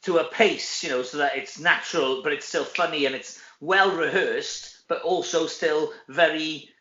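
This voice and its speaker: A man's voice: on the high side, normal speed, bright, forceful tone. A middle-aged Welsh man chatting casually as an interview guest, rambling and conversational. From Wales. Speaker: interview guest, stage actor. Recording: roomy echo, dull lo-fi audio.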